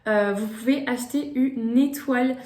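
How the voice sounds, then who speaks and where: A woman's voice: on the high side, normal speed, darkish tone. Hesitant young woman in an echoey room. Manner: hesitant, many "euh" fillers and restarts. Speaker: vlogger presenting gift ideas. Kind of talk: casual chat to camera.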